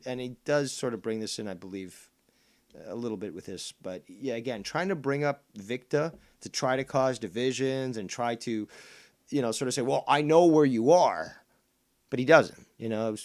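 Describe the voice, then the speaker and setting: Casting: Spanish-first-language Canadian man, mid-pitch, heated, swearing, sarcastic host rebuttal. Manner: combative, profane, sarcastic. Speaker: streamer host. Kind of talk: heated commentary on a clip.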